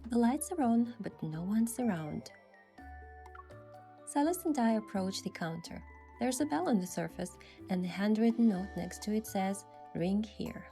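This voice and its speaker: A woman playing a gentle, thoughtful young heroine, narrating her game story softly and in measured scripted first person. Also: medium pitch, animated, soft background music. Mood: reflective.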